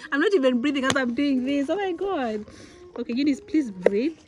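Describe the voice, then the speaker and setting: A young woman from Uganda, a vlogger, unboxing outdoors in a village yard, talking with giddy excitement, laughing often and exclaiming. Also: high-pitched, normal speed. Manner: giggly, breathless with excitement, frequent laughs and exclamations. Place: outdoors in a rural village yard, seated on a mat.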